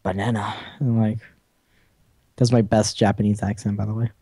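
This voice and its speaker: A man's voice: on the low side, little pitch movement, normal speed, dark tone, slightly rough, no echo. A young man co-hosting a podcast in casual chat, joking, swearing and laughing often. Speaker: podcast co-host.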